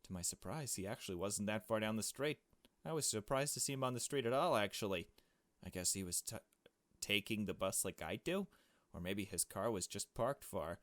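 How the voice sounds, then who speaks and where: A man reading visual novel narration as an anxious, conscientious young woman, measured and introspective. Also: fairly low pitch, a bit bright, no room sound, lightly acted. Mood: matter-of-fact.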